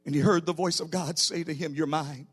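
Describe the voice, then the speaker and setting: A man's voice: on the high side, fairly even intonation, fast talker, a bit bright, husky. A man preaching a sermon as a pastor, emphatic and declarative, appealing directly to his listeners. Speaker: pastor, bishop preaching to own church.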